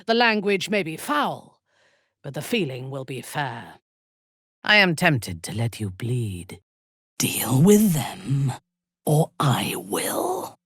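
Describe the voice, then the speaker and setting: An actress voicing a ruthless, imperious female dark elf commander in a fantasy game, with haughty, formal, menacing delivery. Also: rumbling low, sing-song, slow, husky, no echo, clean studio sound, full character voice. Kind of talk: dramatic fantasy game voice acting.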